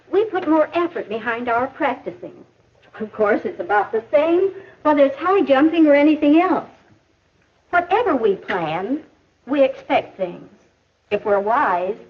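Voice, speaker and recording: Patient American woman mentor, high lively pitch, didactic, 1950s educational film acting, muffled old soundtrack. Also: slightly rough, exaggerated voice. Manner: didactic, measured explaining. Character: patient, instructive female mentor to a teenage boy. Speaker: teacher or counselor in an educational film.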